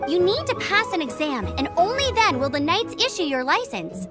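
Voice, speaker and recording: A woman's voice: very high voice, very animated, normal speed. A woman voicing a peppy, upbeat young woman knight who chats eagerly in a game dub. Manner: bubbly, upbeat, chatty. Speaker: gliding examiner. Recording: game audio with music behind.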